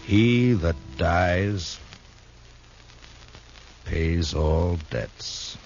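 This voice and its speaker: A muffled vintage radio Western features a frontier marshal with a very deep voice. He is stern, weary and grave, using few, firm, plain words. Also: drawn-out words, gravelly, full character voice. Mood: somber. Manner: terse, firm, plain-spoken. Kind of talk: 1950s radio Western acting. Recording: muffled old radio recording with music.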